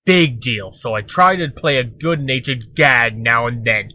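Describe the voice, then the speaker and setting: Casting: male actor as glib rogue, mid-pitched with a sharp bright tone, defensive and cocky, comic drama. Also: a little slow, no room sound. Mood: cocky, indignant. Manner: glib, self-justifying. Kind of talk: comic dub dramatic acting.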